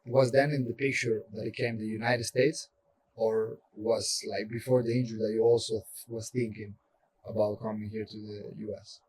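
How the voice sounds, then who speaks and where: A man's voice: on the low side, flat delivery, moderate pace, a little mellow, a little hiss or hum, low-quality recording. A man hosting a casual podcast interview, asking conversational, leading questions. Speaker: podcast host.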